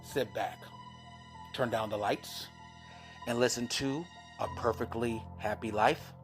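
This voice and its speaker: A man hosting a horror radio show, giving a scripted introduction in a drawn-out delivery. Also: medium pitch, fairly even intonation. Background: music.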